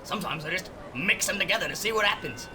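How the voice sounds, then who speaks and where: Man playing a high-pitched, reckless young tinkerer. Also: lively intonation, fast, background noise. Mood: amused, blithe. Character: reckless, gleeful young amateur chemist and petty thief. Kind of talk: audio drama dialogue.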